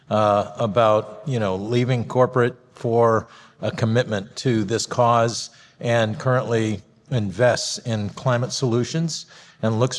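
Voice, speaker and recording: A man moderating a conference panel, introducing the speakers with many halting fillers. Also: medium pitch. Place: conference hall. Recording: reverberant hall.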